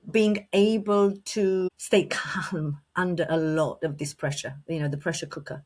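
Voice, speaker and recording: A woman from Romania speaks in a slightly low, steady voice. She answers interview questions fluently, with many small restarts and fillers. A slight cough sits in her speech. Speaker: leadership coach and guest.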